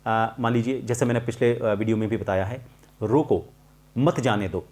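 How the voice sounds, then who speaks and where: A middle-aged man explaining a lesson to viewers as a voice coach, in a deliberate, emphatic manner with clear pauses. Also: mid-range voice, fairly even intonation, darkish tone. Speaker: voice-over artist and voice coach hosting a tutorial.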